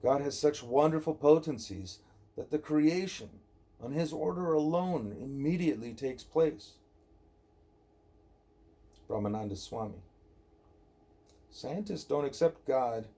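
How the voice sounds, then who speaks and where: A man reading a scripted spiritual dialogue aloud as an audiobook narrator, deliberate and emphatic on rhetorical questions. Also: mid-range voice, creaky, mellow, husky. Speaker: audiobook reader.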